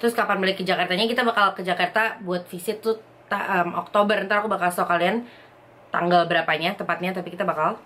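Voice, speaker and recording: A woman's voice: on the low side, slight background noise. A young woman from Indonesia chatting casually as a vlogger, reading out viewer questions and answering them at home. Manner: lively, chatty, quick bursts, reads questions aloud. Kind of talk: casual chat.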